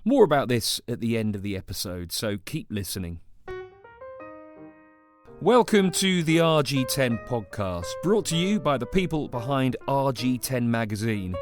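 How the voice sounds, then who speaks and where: Lively podcast host. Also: male voice, fairly high pitch. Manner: upbeat and jokey. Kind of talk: scripted intro, then casual interview.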